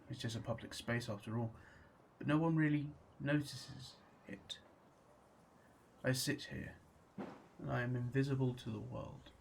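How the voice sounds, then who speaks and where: Introverted young male played by an actor, creaky voice, confiding and hesitant, radio drama monologue, faint noise behind. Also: mid-range voice, close to an everyday voice. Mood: reflective. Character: introverted young man musing alone on his bench.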